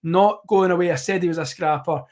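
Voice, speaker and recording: Rambling, slightly hoarse male commentator. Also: sits high, fairly quick, darkish tone. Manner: rambling, self-correcting, many fillers. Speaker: host of a live tennis watchalong. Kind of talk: live match commentary.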